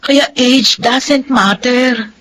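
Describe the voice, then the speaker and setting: A woman playing an upbeat, persevering young working student in a radio drama, brisk and reassuring, with a Filipino accent. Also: a little high, a bit bright, heavily acted, low-quality recording. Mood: upbeat, patient.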